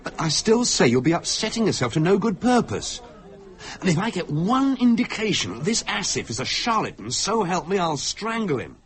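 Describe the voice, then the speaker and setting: An actor playing a sceptical, scornful husband in a radio drama, blunt and sardonic in manner. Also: male voice, high voice, big pitch swings, normal speed, a bit bright. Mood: angry, warning.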